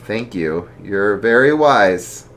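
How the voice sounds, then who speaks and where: A man's voice: on the low side, lively intonation, slow pace, fairly bright tone, exaggerated voice. A storyteller voicing an anxious young man who speaks earnestly and with worry, close to their own voice. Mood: worried.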